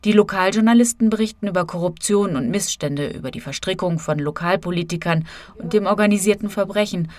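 A woman's voice: medium pitch, brisk, darkish tone, dry recording. A woman reading a scripted radio feature as a correspondent, narrating and voicing translations of quotes.

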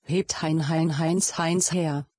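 A woman's voice: sits low, fairly even intonation, takes it slowly, a little mellow, dry recording. A synthetic text-to-speech woman's voice reading fragmented word lists in a detached, mechanical and repetitive way. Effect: synthetic text-to-speech reader voice. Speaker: reader of word lists in a sound installation. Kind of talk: detached word-by-word list reading with stuttering repeats.

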